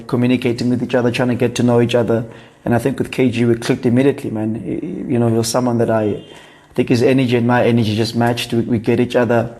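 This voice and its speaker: Young South African man, easygoing guest, slangy, laughs easily, mid-pitched voice. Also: dark tone. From Durban, South Africa. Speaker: guest, food entrepreneur. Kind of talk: casual podcast chat.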